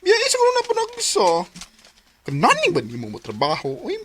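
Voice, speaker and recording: An actress playing a chatty, cheeky young friend in a radio drama, slangy and playful. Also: female voice, extremely high pitch, wildly swooping pitch, moderate pace, very noisy, heavily acted. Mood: gossipy. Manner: slangy, playful, mixes in English.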